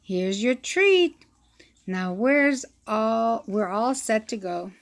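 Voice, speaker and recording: A woman reading a children's picture book aloud, with an expressive storytelling delivery. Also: a little high, creaky. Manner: slow, expressive reading with acted-out sound effects.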